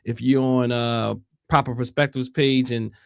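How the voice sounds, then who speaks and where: An American man hosting a casual livestream discussion, speaking haltingly and repeating phrases for emphasis. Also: medium pitch, level tone, creaky, smooth, no echo, clean studio sound. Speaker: online show host.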